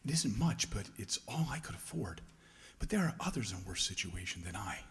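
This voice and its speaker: Meek kindly male clerk, acted by a man, very animated pitch, deferential, hesitant, radio play, reverberant hall. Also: brisk. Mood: shy, kindly. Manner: deferential, hesitant, nervously eager. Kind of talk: radio play acting of a Victorian tale. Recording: echoey hall with long reverb.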